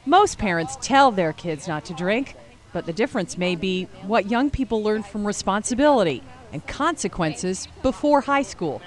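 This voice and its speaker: A woman's voice: mid-range voice, expressive, normal speed. Female TV news reporter. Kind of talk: scripted news narration.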